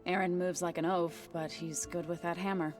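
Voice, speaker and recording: Lady voicing a steady warrior woman, dry and firm, lowish voice, soft music behind. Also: normal speed, natural voice. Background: game music.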